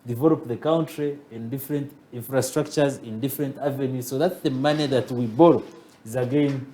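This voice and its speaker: A man's voice: mid-range voice, fairly quick, warm tone, a little hiss or hum. A man with a Ugandan accent, a guest advocate on a talk show, building his argument with rhetorical questions.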